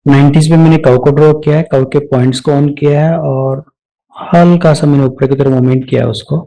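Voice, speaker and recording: Mellow-voiced man teaching software. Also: a little high, steady tone, fairly quick, vocal fry, low-quality recording. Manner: mixes English technical terms into speech. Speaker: software instructor. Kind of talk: step-by-step screen tutorial, spoken off the cuff.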